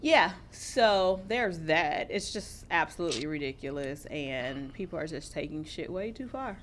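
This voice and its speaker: Animated American woman with noisy background. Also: low voice, unhurried. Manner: animated, blunt storytelling. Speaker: talk show co-host. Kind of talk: casual profane banter. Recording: noticeable background noise.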